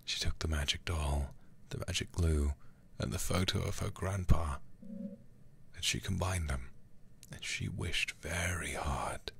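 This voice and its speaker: Very deep whispering man, gravelly ASMR. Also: a little hiss or hum. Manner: hushed, breathy whispering, slow deliberate reading. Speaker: streamer. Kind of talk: ASMR whispered game playthrough, reading text aloud. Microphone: close-up ear-to-ear ASMR microphone.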